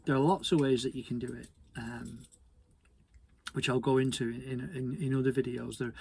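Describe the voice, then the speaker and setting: A British man giving an informal technical explainer in a conversational, hesitant way, often repeating words, with a husky, gravelly voice. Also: medium pitch, little pitch movement, normal speed, a little mellow. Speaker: hobby radio presenter.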